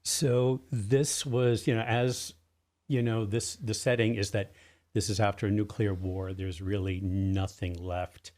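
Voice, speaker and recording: Low-voiced man explaining haltingly. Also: steady tone, darkish tone. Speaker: interview guest, drama writer and producer. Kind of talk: casual interview talk.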